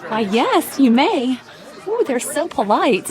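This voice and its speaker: A giddy woman passenger gushes breathlessly in a fairly high voice. A busy crowd chatters all around her. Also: takes it slowly, full character voice. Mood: excited. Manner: breathless, gushing. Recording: lively crowd behind.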